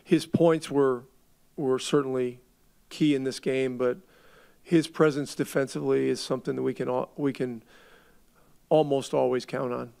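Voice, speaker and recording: A middle-aged man, a head coach, answering reporters at a postgame press conference in a measured way, often restarting his words as he thinks. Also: medium pitch, fairly even intonation, gravelly, mellow, clear, resonant tone. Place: arena press room. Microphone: table mic.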